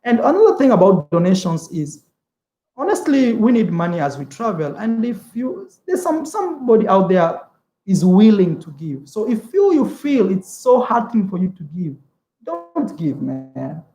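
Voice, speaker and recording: A man's voice: high-pitched, very animated, moderate pace, dark tone, reverberant. A young man from East Africa whose first language is Swahili, chatting casually on a travel livestream, rambling, repeating words and calling the viewer "man". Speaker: travel vlogger.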